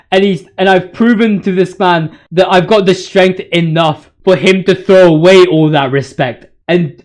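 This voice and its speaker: A young adult man giving informal video game commentary in a slangy, excitable, rambling and hyperbolic way. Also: sits high.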